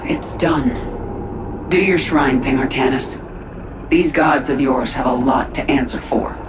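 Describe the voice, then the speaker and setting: A woman's voice: extremely low pitch, unhurried. A woman playing a tough, impatient warrior ally in a video game, with a blunt, wry, clipped delivery. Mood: wry, sardonic. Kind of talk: dramatic video game voice acting. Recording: loud noise and ambience behind.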